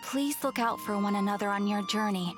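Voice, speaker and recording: A woman's voice: mid-range voice, music in the background, close to an everyday voice. Woman voicing a formal, composed guild receptionist. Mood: earnest, cautioning. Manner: formal, measured, polite. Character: earnest, composed female adventurers' guild receptionist. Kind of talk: video game cutscene acting.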